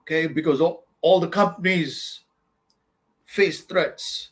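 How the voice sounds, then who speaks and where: A man with a fairly high voice gives informal advice in a question-and-answer session. He is emphatic and blunt and keeps repeating his points. He often calls his listeners "man". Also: slow. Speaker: study-abroad advisor answering student questions.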